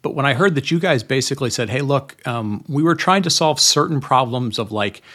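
A man speaks as a podcast host in an informal, conversational style. His voice sits at an ordinary male pitch, and his delivery is a little halting as he talks through his thoughts. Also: lively intonation, fairly bright tone.

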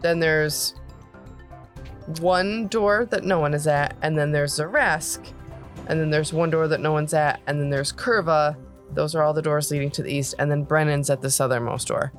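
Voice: mid-range voice, normal speed, soft background music. A tabletop game master who narrates and explains the scene step by step.

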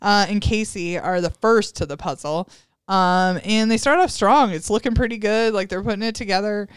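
Giggly chatty woman, crisp clear voice. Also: mid-range voice, normal speed, dry recording. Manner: chatty, giggly recapping with filler words. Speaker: podcast host recapping a reality show. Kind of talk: casual chat.

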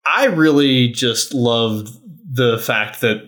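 A man's voice: medium pitch, slow, fairly bright tone. A man hosting a podcast, chatting casually in slangy, conversational fan talk. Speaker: podcast host and quizmaster. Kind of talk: casual fan chat about wrestling.

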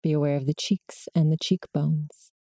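Very mellow, low-voiced woman guiding meditation. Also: fairly even intonation, dry recording, studio quality. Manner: slow, measured, with long pauses between short prompts. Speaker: meditation guide. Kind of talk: guided body scan meditation.

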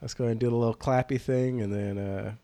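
A man's voice: medium pitch, steady tone, brisk, dark tone, no room sound. A man hosting a casual podcast, slangy and laid-back, sounding nasal from a cold. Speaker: podcast host.

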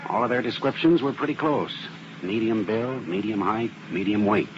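A man's voice: fairly low pitch, moderate pace, lightly acted. A man playing a methodical arson expert. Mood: explanatory. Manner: methodical, explanatory. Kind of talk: 1950s radio drama acting. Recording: muffled old radio broadcast with hiss.

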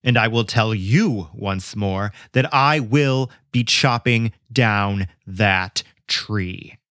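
A man voicing a stubborn, angry male lumber boss who gruffly refuses a request. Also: fairly low pitch, slow pace, fairly bright tone, no echo, studio quality. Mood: defiant. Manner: gruff, bellowing, defiant.

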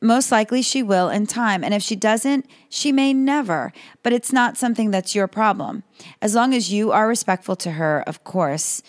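A woman hosting a podcast, slightly hoarse, reading calm advice in a scripted monologue. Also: medium pitch, moderate pace, no room sound. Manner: calm, advising. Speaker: podcast host.

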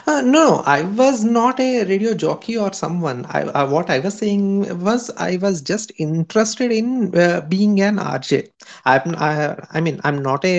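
A man answering interview questions about his podcast, earnest and halting, with many pauses and fillers. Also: high-pitched, vocal fry. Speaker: podcast guest. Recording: dull lo-fi sound.

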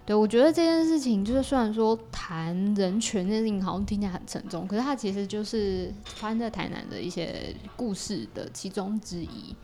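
A woman's voice: mid-range voice, lively intonation, fairly quick, few pauses, dark tone. A woman with a Taiwanese Mandarin accent, explaining things in a casual interview as a podcast guest. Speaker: podcast guest, creator of an audio theatre work.

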